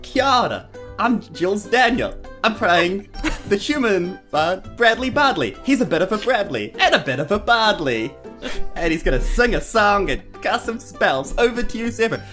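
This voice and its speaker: A woman's voice: medium pitch, big pitch swings, moderate pace, a bit bright, soft background music. A woman, chatting casually and playfully as a player on a tabletop game show. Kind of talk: casual chat, playful introductions.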